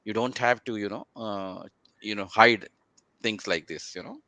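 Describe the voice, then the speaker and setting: A man speaking as a panelist in a conversational, halting way, often repeating filler phrases. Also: on the low side, bright, forceful tone, no echo.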